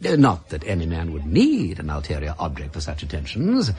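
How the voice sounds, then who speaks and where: An actor playing an urbane, wry English aristocrat in a radio drama, with a pompous, flowery upper-class English manner of speech. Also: male voice, deep voice, wildly swooping pitch, brisk, a little mellow. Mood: mock solicitous. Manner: pompous, flowery, drawling mock-courtesy. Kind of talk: British radio drama acting.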